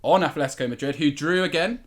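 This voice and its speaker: A man giving conversational football analysis as a podcast pundit, measured and articulate. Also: a little high.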